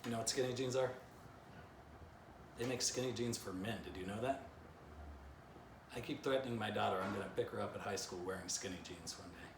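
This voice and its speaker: A man's voice: lowish voice, brisk, pauses often. A middle-aged man, a children's author, reading a story and giving an informal drawing lesson. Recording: roomy echo, clear background noise.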